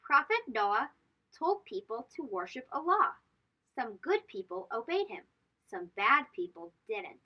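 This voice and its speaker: A woman's voice: fairly high pitch, highs cut off. A woman hosting a children's read-aloud, telling storybook tales emphatically and putting questions to young listeners.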